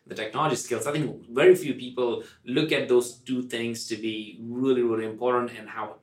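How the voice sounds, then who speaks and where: A man's voice: medium pitch, fairly even intonation, brisk. Man podcasting in an echoey room. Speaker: podcast co-host, company executive. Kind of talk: conversational podcast discussion. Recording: roomy, echoey room sound.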